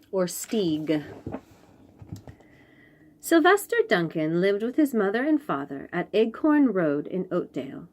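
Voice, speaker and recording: Slow-paced woman reading lively children's stories. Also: mid-range voice, slight background noise. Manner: gentle, full of wonder, engages child listeners with questions. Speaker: children's storyteller and host. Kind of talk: expressive picture-book read-aloud with asides to young listeners.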